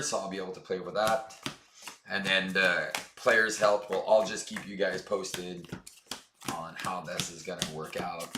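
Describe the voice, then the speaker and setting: A hoarse man chatting casually as he plays through a card game for his channel, upbeat and full of fillers. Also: fairly low pitch, pushed and bright, reverberant, a little hiss or hum. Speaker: board game channel host. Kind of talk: casual tabletop game playthrough.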